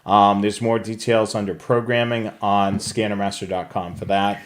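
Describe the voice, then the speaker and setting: A man's voice: on the low side, flat delivery, normal speed. A man co-hosting a live hobby show, talking casually through products and services for viewers. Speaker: co-host of a live radio scanner show.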